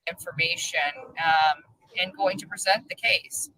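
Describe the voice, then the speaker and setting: A woman talking casually in a rambling online video, halting and often interrupting herself. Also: lowish voice, sharp tone, no echo. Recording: noisy background ambience, played from video.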